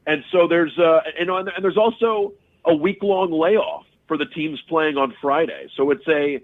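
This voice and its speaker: A man talking sports as a guest analyst in a conversational, halting way, often repeating words mid-sentence. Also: fairly high pitch. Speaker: sports radio host and play-by-play broadcaster, podcast guest. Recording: tinny, phone-like remote connection.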